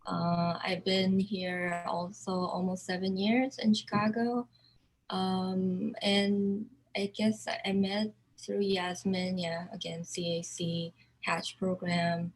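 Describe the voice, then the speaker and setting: Slow, halting woman from South Korea. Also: mid-range voice, level tone, low-quality recording. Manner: halting, searching for words. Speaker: artist. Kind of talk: virtual artist talk.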